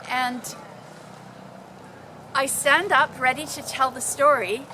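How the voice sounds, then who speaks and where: Bright high-voiced woman telling stories. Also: takes it slowly, slight background noise, rough recording. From Canada. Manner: animated, dramatic, acting out exclamations. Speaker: storyteller. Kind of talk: informal storytelling to a group.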